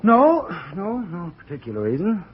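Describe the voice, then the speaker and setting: A man's voice: high-pitched, huge pitch swings, normal speed, husky, exaggerated voice. An actor playing an anxious, guilty American businessman in an old radio suspense drama, speaking in a tense, clipped, confessional way. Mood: nervous, defensive. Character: guilty, anxious businessman narrating his own crime, cornered husband. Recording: muffled vintage radio recording with music.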